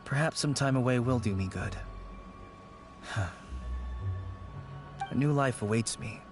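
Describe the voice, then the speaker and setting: A grieving man from a fantasy video game, played by a male actor. His voice sits at a middle pitch and is earnest, wistful and subdued. Music plays underneath. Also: slow pace, close to an everyday voice. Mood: resigned.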